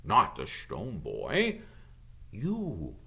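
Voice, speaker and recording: A man voicing a kindly, calm old wizard headmaster in an audiobook, musing and hesitating as he gently reassures. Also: on the low side, drawn-out words, gravelly, exaggerated voice. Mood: gentle, grave.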